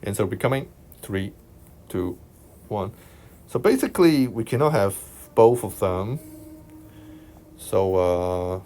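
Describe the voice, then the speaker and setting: A man from Hong Kong with a Hong Kong accent, talking through a maths exam solution as a tutor, unhurried and thinking aloud, checking in with his listeners. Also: low voice, warm tone, a little hiss or hum. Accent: Hong Kong Cantonese. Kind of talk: explaining a worked exam solution over screen recording. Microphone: voice-over on screen recording.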